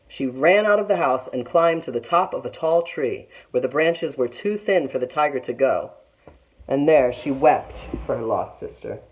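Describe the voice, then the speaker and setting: A woman teacher reading a children's story aloud in an expressive narrating style. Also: very deep voice, moderate pace, rough recording.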